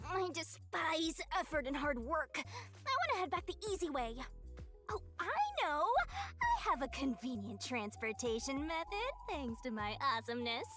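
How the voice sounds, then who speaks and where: A woman's voice: high-pitched, big pitch swings, normal speed, a bit bright. A woman voicing a boastful, lazy young female death-god guide in an anime-style video game, theatrical, smug and playful. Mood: whiny, then smug. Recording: game music behind the voice.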